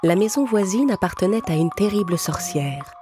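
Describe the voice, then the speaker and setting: A woman's voice: mid-range voice, animated, normal speed. A woman reading a scripted fairy tale as a storyteller. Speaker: narrator. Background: music.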